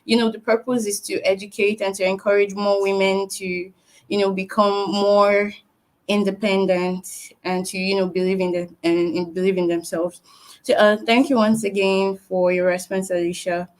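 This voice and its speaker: A woman hosting an online interview chat, asking her questions in a measured way with frequent "um" and "uh". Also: mid-range voice, little pitch movement, a little mellow, low-quality recording. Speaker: host.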